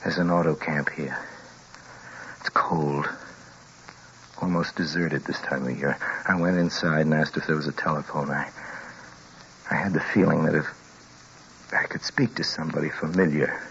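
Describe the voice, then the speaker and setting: A man playing a frightened, haunted young traveler in a 1940s radio drama, speaking with halting, dramatic intensity. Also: very deep voice, fairly even intonation, fairly bright tone. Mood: shaken, brooding. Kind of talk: 1940s radio drama acting, first-person narration. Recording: muffled, noisy old radio recording.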